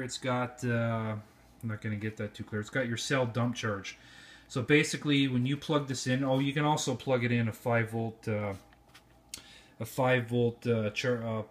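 A man giving an informal, slightly rambling hands-on product review, recorded indoors on a handheld phone. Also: mid-range voice. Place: indoor room at home. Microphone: handheld cell phone.

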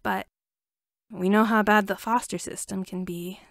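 A woman voicing a gentle, kindly father in a story, speaking softly and reassuringly. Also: mid-range voice, steady tone, unhurried, no room sound, studio quality, close to an everyday voice. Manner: soft, reassuring.

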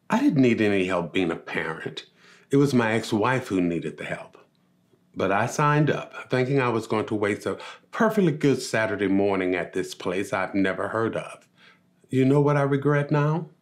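Older man, very animated low voice. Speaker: reader of a father's story. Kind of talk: read first-person testimonial monologue. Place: indoors against a dark backdrop.

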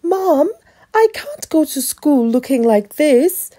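A woman's voice: high voice, big pitch swings, slow, mellow, voice pushed up, heavily acted. A woman voicing a spoiled, lazy, grumpy young schoolboy in a storybook tale. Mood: upset protest. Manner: grumpy, protesting.

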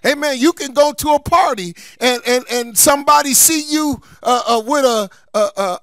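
A man's voice: extremely high pitch, vocal fry, a bit bright, dry recording, clean studio sound. A man preaching a sermon as a pastor, emphatic and folksy, repeating key phrases for stress and slipping into colloquial asides. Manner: colloquial, folksy asides between scripture points.

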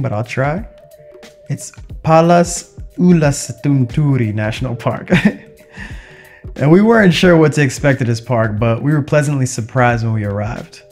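A young man narrates his travels in a casual, upbeat voice of medium pitch. He talks conversationally, like a vlogger sharing a trip, with music playing behind him. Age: young adult.

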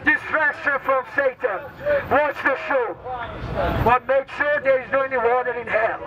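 A man's voice: very high-pitched, steady tone, moderate pace. A man street preacher delivering fiery, declamatory exhortations in short bursts, with non-native phrasing. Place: outdoors on a busy city sidewalk at night. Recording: loud crowd and traffic on city street.

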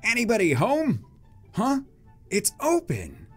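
Man acting out an excitable, theatrical boy manga hero. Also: sits high, slow pace, music in the background, pitched above the performer's own voice, full character voice. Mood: curious, earnest. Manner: exaggerated, theatrical. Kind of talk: dramatic comic-book reading with funny voices.

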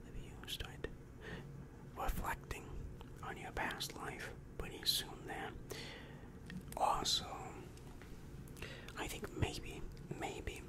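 A young man whispering an ASMR roleplay as a helpful, polite demon counselor, soft, hesitant and reassuring, close on the microphone. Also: slow pace. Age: young adult. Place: small dim room indoors. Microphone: large microphone right in front of the mouth.

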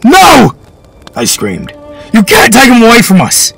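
Guy doing a hotheaded young boy, high and shrill, yelling, pitch all over the place. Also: sing-song, brisk, faint music behind, full character voice. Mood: furious. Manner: shrill, shouting outbursts. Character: defiant young boy, hot-tempered.

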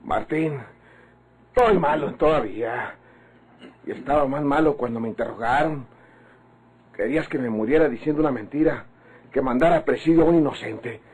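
Actor as a raspy, frail wounded Mexican man. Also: medium pitch, moderate pace, pauses often, a little mellow, close to an everyday voice, low-quality recording. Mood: weary protest. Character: frightened, weakened wounded man pleading in bed. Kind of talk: old Mexican radio drama acting.

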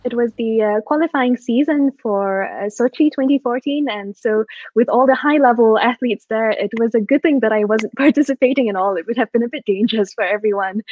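A woman's voice: a little high, no echo. A woman from Singapore in her thirties introducing herself on a video call, telling stories about her sporting life with a self-deprecating touch. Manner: warm self-deprecating storytelling with light laughs. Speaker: course co-founder. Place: indoor room, video call. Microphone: laptop or webcam mic on video call. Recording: dull dry video call audio.

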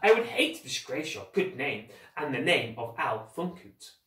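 A man's voice: mid-range voice, big pitch swings, moderate pace, sharp tone, reverberant, far from the mic. A man voicing an anxious, polite and clever young girl in a storybook, speaking carefully and hesitantly. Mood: nervous, polite.

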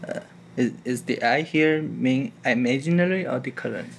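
A young man playing a polite student who speaks quietly and haltingly, with some room noise behind him. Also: medium pitch, creaky, lightly acted. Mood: polite, hesitant. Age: young adult. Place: classroom lab, indoors.

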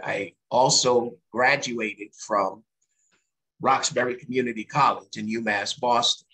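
A man from Barbados introduces himself as a candidate over a video call. His voice is bright and forceful at a middle pitch. He talks in a plain, sincere way. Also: rough recording. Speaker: political candidate.

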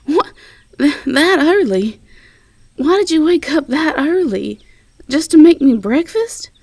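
Woman doing a sweet southern belle girlfriend for roleplay audio, southern us accent, slow n mellow, kinda flustered and stammery. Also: high-pitched, expressive, no room sound, exaggerated voice. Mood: surprised, concerned. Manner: hesitant, stammering, affectionate. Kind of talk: intimate roleplay audio drama.

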